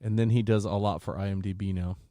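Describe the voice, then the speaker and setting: A man in informal, casual conversation who gets animated when he quotes people. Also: on the low side, fast talker, dry recording, clean studio sound. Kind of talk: casual chat.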